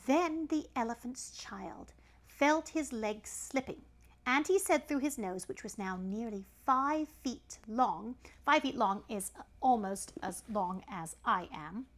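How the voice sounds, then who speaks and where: A woman reading a children's story aloud in an animated style, with chatty asides to a young listener. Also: a little high, slow.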